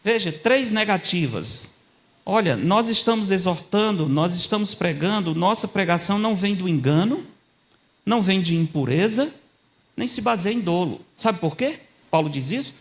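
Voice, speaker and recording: An older man preaching a sermon in a church. He tells stories in a conversational way, checks in with the congregation, and grows louder and higher when he quotes scripture. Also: expressive, normal speed. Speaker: guest preacher. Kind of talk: sermon with storytelling.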